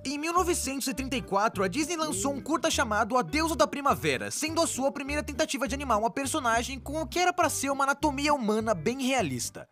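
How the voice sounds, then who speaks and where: High, animated Brazilian cartoon narrator voiced by a woman. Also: fast talker, hardly any pauses, faint music behind. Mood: wry, enthusiastic. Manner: exaggerated, informal, jokey delivery. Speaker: narrator of an animated explainer video. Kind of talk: scripted comic explainer narration, dubbed.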